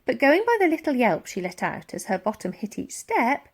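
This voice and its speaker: Lively woman reading a children's story. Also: fairly high pitch, normal speed, husky. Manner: dramatic, with acted-out sound words. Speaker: audiobook reader. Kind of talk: expressive children's storybook narration.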